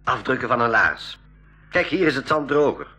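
An actor playing a practical, helpful expedition companion who explains patiently, in a school radio play. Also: male voice, mid-range voice, very animated, normal speed, sharp tone, breathy edge, soft background music, heavily acted. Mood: matter-of-fact. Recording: radio play with audible ambient noise.

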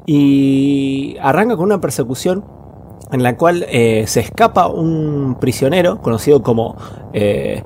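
A man's voice: mid-range voice, animated, measured pace, a little mellow, a little hiss or hum. A man with an Argentine accent co-hosting a podcast, chatting casually about films in slangy language with plenty of swearing. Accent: Argentine Spanish. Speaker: podcast co-host. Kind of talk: casual film chat.

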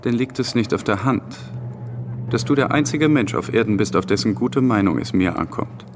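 A proud young poet-revolutionary in a radio play. His lowish voice is controlled and coolly sarcastic, with faint noise behind it. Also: animated, normal speed. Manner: cool, sarcastic. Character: Saint-Just, proud, self-controlled young poet-revolutionary aged twenty-two. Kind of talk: German radio drama dialogue.

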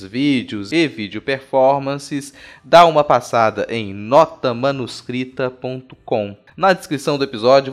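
A Brazilian man hosts a podcast in a casual, conversational style. His voice sits at an ordinary middle pitch as he addresses the listeners directly. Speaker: podcast host.

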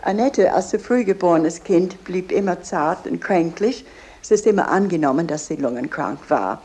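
A woman's voice: on the low side, expressive, moderate pace, dark tone, natural voice. A woman as a museum guide, addressing visitors directly and explaining in a didactic way. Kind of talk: explanatory guided-tour talk.

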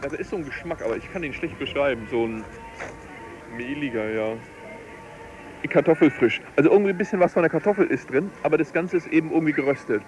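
A man's voice: on the high side, fast, low-quality recording. A man chatting casually and tentatively, groping for words as he describes things. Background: clear music.

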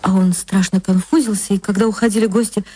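An actress reading a short story for radio, in expressive dramatic literary narration with drawn-out words. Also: female voice, mid-range voice, breathy edge, no room sound.

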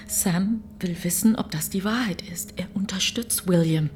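An actress playing a fearful, doubting, loyal farmer's wife in a radio play, hushed, anxious and sceptical. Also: female voice, on the low side, normal speed, slight background noise, close to an everyday voice. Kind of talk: German radio play acting.

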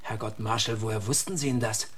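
A man's voice: on the low side, fairly even intonation, a little fast, breathy edge. A man playing a deferential small-town informant reporting to a lawman in an audio drama. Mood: urgent, respectful.

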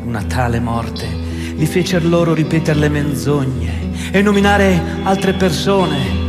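A man delivering theatrical scripted narration with a grave, dramatic manner. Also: high voice. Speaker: stage actor and narrator.

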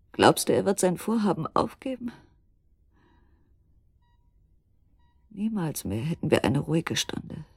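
An actress in a German radio drama playing an anguished, desperate wife and mother, speaking haltingly and intensely, dropping into whispers. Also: fairly low pitch, darkish tone, slightly rough, dry recording, full character voice. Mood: torn, despairing.

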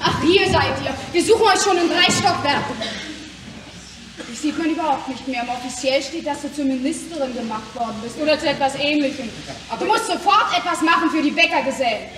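A high-voiced, insistent working-class woman acted by a woman from a radio play. She is plain-spoken and challenging, heard at some distance in a roomy space with faint noise. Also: fairly quick, close to an everyday voice. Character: feisty working-class seamstress pressing demands, plain-spoken. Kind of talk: German radio play acting.

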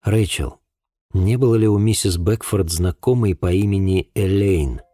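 A man's voice: low-pitched, unhurried, dark tone, no echo, studio quality. A man voicing a troubled, earnest police investigator in audiobook dialogue, with a restrained manner. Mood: careful, questioning.

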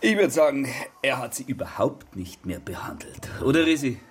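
An actor playing a shifty, married lover in a radio drama, flippant and brusque, with a Bavarian accent and a husky voice. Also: male voice, medium pitch, very animated, moderate pace. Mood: defensive, flippant. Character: cheeky, shifty married lover, hard-drinking and work-shy. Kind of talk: naturalistic radio drama dialogue.

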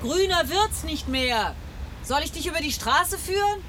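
A woman's voice: sits high, animated, unhurried. An actress playing an impatient woman calling to a companion in the street in a radio drama, distant amid traffic noise.

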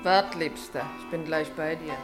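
A woman's voice: sits low, fairly even intonation, normal speed, music in the background, close to an everyday voice. An actress in a radio play playing a brash woman of the night-town streets. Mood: luring.

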